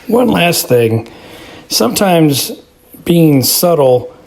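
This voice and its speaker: A man from Texas with an American accent, giving a casual spoken review in a halting, thoughtful, repetitive way. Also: on the high side, very animated, creaky, a little mellow. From Texas, United States. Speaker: listener commentator.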